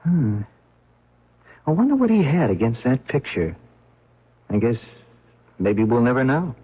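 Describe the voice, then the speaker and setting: An actor playing a plain-spoken museum guard in a radio drama, casual and matter-of-fact, on a muffled old recording. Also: male voice, medium pitch, sing-song, a little slow, husky. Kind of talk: 1970s radio drama acting.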